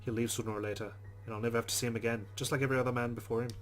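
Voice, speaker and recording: A man's voice: mid-range voice, little pitch movement, rapid-fire, lightly acted. Man reading as rueful young woman, put-on Irish accent. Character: young Irish woman narrating her rueful private thoughts. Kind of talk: first-person visual novel narration.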